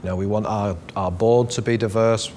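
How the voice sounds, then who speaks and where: A man with a lowish voice conducts an interview, asking relaxed questions and pausing to find his words. A faint background hum runs under the conversation. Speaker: interviewer, executive director. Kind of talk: interview questions, casual talk.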